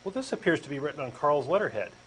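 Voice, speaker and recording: A man's voice: on the high side, sing-song, racing, fairly bright tone, a little hiss or hum, poor audio. A man with a Texan accent reading a comic dialogue as a hesitant, skeptical questioner who stammers. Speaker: co-host doing a comic dramatic reading.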